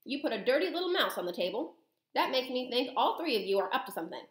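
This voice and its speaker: A woman voicing a blustering, indignant English father in a storybook, shouting and spluttering. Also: high voice, sing-song, fast talker, reverberant, pitched above the performer's own voice. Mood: angry.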